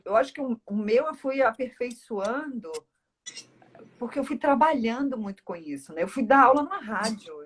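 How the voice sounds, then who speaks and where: A woman from Northeast Brazil with a Brazilian accent, talking at length in a casual, explanatory conversation as a speech therapist. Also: medium pitch. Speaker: speech therapist, interview guest.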